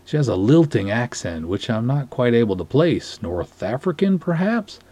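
A man's voice: medium pitch, sing-song, moderate pace, mellow, husky. A man voicing a verbose, dignified, lovestruck lake patrol cop in a dramatic story reading, with pompous, florid phrasing. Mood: enchanted.

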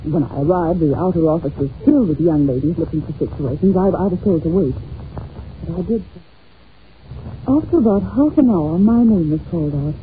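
A woman's voice: on the low side, lively intonation, brisk, a little hiss or hum, lightly acted. A woman playing an anxious, well-bred young English governess in an old-time radio drama, polite and earnest, on a muffled recording.

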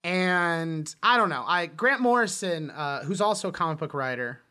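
A man's voice: a little high, expressive, moderate pace, sharp tone, smooth. A man co-hosting a podcast, chatting casually and animatedly, sometimes slipping into mimicry. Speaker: podcast co-host. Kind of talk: casual film and comics discussion.

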